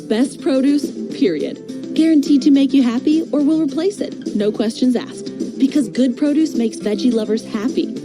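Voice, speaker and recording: An American woman voices a punchy scripted radio ad in short phrases. Her voice is high, with music clearly playing behind it. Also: moderate pace. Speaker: commercial announcer.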